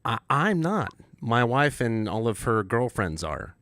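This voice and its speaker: A man's voice: medium pitch, very animated, measured pace, a bit bright, dry recording. A man co-hosting a podcast with teasing, casual banter, jumping in and repeating his first words. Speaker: podcast co-host.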